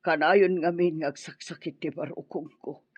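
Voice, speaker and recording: A woman's voice: sits low, steady tone, moderate pace, a little mellow, husky, no echo, dull audio. A woman with a Filipino accent playing an older, ailing, meddling mother in an Ilocano radio drama. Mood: plaintive.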